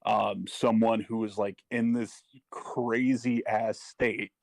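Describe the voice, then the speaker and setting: A middle-aged man speaking personally and earnestly, haltingly searching for his words. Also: fairly low pitch, flat delivery, creaky, a little mellow, dry recording. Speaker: parent speaking as a panel guest. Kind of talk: personal testimony in casual talk.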